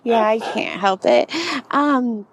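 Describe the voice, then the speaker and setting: A woman with a soft, girlish voice, talking casually as a podcast guest and filling her speech with "like". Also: on the high side, slow. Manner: soft, girlish voice with frequent laughs and fillers. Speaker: guest healer.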